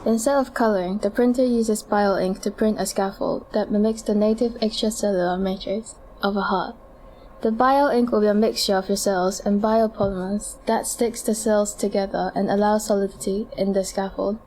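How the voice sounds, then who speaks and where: A woman's voice: mid-range voice, steady tone, normal speed, dark tone, a little hiss or hum, close to an everyday voice. A woman playing a polite clinic doctor who explains treatment options in a scripted amateur audio drama. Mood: polite, explanatory.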